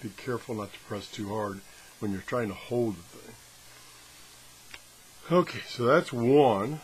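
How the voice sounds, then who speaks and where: A man's voice: medium pitch, a little slow. A man talking casually and off the cuff as he tests a product, thinking aloud with a self-deprecating, hands-on manner. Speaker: product reviewer. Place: home workshop, indoors. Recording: clearly noisy workshop recording.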